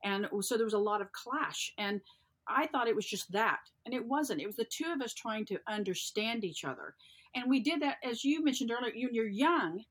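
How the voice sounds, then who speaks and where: A woman's voice: on the high side, expressive, moderate pace, fairly bright tone. A middle-aged woman, co-hosting a podcast and chatting thoughtfully. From American South. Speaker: podcast co-host. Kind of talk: casual chat.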